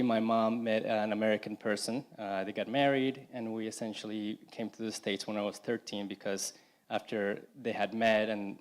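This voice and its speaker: A young man from Colombia giving a personal testimony at a church service, speaking in a halting way with frequent restarts and "you know". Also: fairly low pitch. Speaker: congregation member giving testimony.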